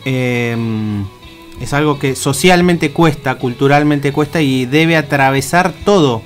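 A man's voice: mid-range voice, takes it slowly. A man with an Argentine accent, hosting a casual radio discussion. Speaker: radio host. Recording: guitar music playing behind the voice. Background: guitar music.

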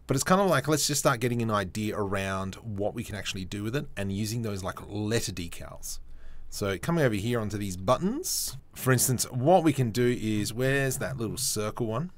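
Chatty low-voiced man thinking aloud. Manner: chatty, self-mocking, thinks aloud while working. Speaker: 3D modeling tutorial host. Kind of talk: casual screen-recorded software tutorial.

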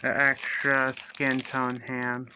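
A young man casually reviewing a toy figure in his bedroom, talking slowly and haltingly with fillers and restarts, close to a phone or webcam. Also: mid-range voice, monotone, pushed and bright, slight background noise, poor audio. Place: small bedroom indoors. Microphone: phone or webcam close to the face.

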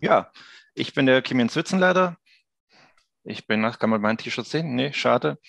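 A man's voice: medium pitch, expressive, normal speed, vocal fry, fairly bright tone, no echo. A man in his thirties chatting casually as an interview guest over a headset. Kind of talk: casual chat. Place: indoor office room, video call.

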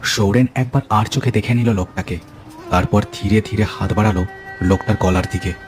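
A man's voice: on the low side, a little fast, over music. A man telling a cartoon ghost story as narrator, with dramatic scripted delivery.

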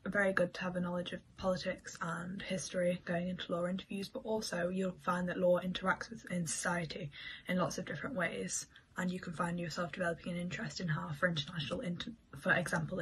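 A woman's voice: fairly low pitch, level tone, fast talker, a little hiss or hum. A young woman, a student giving advice to camera in a room at home. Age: young adult.

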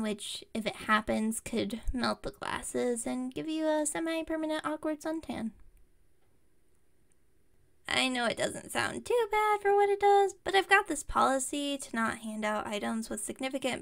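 Woman acting a high, creaky, bubbly witch shopkeeper. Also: a bit bright. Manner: chatty, rambling, enthusiastic. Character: bubbly, scatterbrained young witch shopkeeper, eager and friendly. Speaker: voice actor in audio roleplay. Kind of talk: ASMR roleplay, one-sided conversation with listener.

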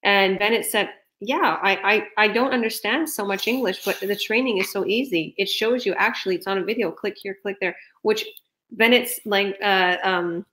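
A woman's voice: mid-range voice, fairly bright tone. A young woman with a New Jersey and Toronto accent, hosting a video call interview with enthusiastic, emphatic talk and frequent laughs. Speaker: host and business coach. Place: small room indoors.

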